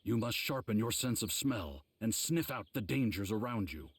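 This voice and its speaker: A man voicing a stern but caring old sword master in an anime game, gruff and solemn. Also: lively intonation, dry recording. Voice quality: husky, gruff, low. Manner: measured, solemn mentoring.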